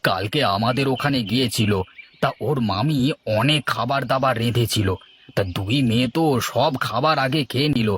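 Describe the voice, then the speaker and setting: A man's voice: medium pitch, moderate pace, fairly bright tone, a little hiss or hum, lightly acted. Man acting a mild, concerned village uncle. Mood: worried, tactful. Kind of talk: amateur cartoon dubbing.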